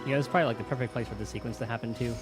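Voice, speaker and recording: A woman with a strikingly low voice streams a game. She talks quickly and casually as she plays, while game music runs clearly behind her. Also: fairly even intonation. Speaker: streamer playing a video game. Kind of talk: casual gameplay commentary and reading along. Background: video game music.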